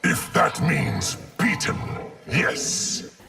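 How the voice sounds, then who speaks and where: An actor playing a solemn, vengeful undead being made of many souls in a fighting game, speaking formally as we. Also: male voice, fairly high pitch, sing-song, very slow, vocal fry, loud music behind the voice, exaggerated voice. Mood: menacing. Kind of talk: fighting game character dialogue.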